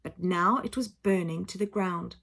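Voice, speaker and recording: A woman reading a children's book aloud in an expressive, dramatic, emphatic style, like a teacher telling a story to a class. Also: lowish voice, moderate pace, vocal fry. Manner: dramatic, emphatic, acts out sound effects. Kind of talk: expressive children's audiobook narration.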